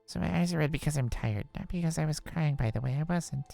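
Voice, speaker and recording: A woman voicing an emotional, caring schoolgirl in a visual novel, earnest and expressive. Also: low-pitched, fast, gravelly, dry recording, faint music behind. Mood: defensive. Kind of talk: live visual novel voice acting.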